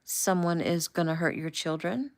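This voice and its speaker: Brief: narrator voicing a steady, firm male leader, low gravelly tone, reassuring. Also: normal speed. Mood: calm reassuring. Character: calm, authoritative man in charge. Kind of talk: audiobook character voice.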